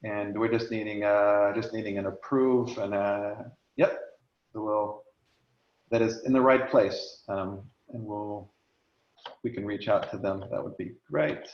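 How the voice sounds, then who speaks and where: A man's voice: lowish voice, steady tone, unhurried. A man hosting an online meeting, talking casually with many fillers while sharing his screen and losing his voice. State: losing his voice, clearing throat. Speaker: meeting host. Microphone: video call. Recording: echoey lo-fi video call.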